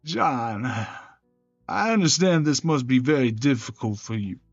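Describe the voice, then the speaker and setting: A man's voice: mid-range voice, very animated, unhurried, dry recording. Man reading game drama, dry dull recording. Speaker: gaming channel creator voicing every character. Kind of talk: scripted dramatic narration of a visual novel. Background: game music.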